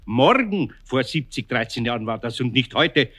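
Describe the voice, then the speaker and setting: An actor playing a kindly, patient master craftsman with a Bavarian accent in a children's radio play, homely and gently firm. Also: mid-range voice, very animated. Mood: amused, persuasive. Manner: unhurried, indulgent, homely.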